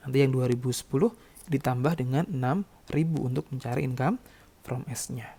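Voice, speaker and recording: A male lecturer with a noticeably gravelly edge to his voice. His tone is soft and mellow, sitting at an average male pitch. He explains things in an instructional, step-by-step way, punctuated by small check-in tags. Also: fairly quick. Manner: instructional, repeatedly checking with short tag words. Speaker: accounting lecturer.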